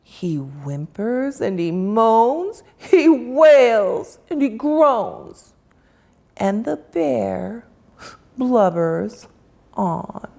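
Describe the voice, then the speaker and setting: A woman reads a rhyming picture book aloud, lingering on each line in a slow, sing-song way. Her voice is fairly high and swoops up and down as she tells the story. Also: sing-song, vocal fry, mellow. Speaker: children's author reading aloud. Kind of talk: expressive read-aloud storytelling.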